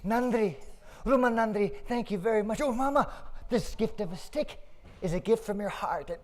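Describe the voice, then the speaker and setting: A man's voice: high voice, moderate pace, pitched above the performer's own voice, lightly acted. A man voicing an eager young boy who loves drums, talking in squealing, breathless bursts. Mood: grateful.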